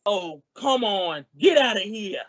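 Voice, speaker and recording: A performer playing a childlike, wisecracking, excitable male comedian sidekick in a 1940s-style radio comedy. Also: medium pitch, takes it slowly, dull audio, very noisy. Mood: excited, indignant. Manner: exclamatory, wisecracking.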